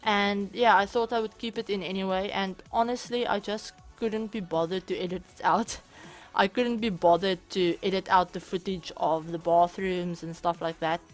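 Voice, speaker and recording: A woman, a bit hoarse from being sick, talking casually and rambling over footage of a game build, often correcting herself mid-sentence. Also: mid-range voice, steady tone, normal speed. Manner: rambling, self-correcting, chatty. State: hoarse from illness. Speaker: channel host. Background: soft background music.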